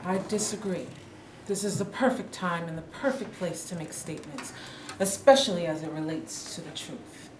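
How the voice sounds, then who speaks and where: A woman's voice: on the low side, normal speed, close to an everyday voice. A woman reading the part of a proud, dignified elderly mother in a staged play reading. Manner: dignified, bursts into angry outrage. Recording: echoey room, distant mic, background noise.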